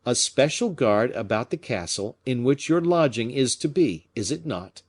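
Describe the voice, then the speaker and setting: Musketeer captain for a storybook, male narrator acting: polite, shrewd, measured delivery with animated, knowing emphasis. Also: medium pitch, breathy edge. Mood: earnest, hinting. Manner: measured, pointed, formally polite. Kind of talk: audiobook character acting.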